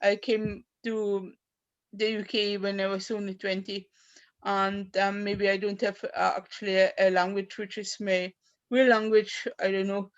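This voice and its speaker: A woman in her fifties, a native German speaker from Austria, talks haltingly about her life as a poet, with many fillers and restarts. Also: medium pitch, flat delivery. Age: 50s. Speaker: poet at an open mic. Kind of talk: personal talk and poetry reading. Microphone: video call. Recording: dull lo-fi video call audio.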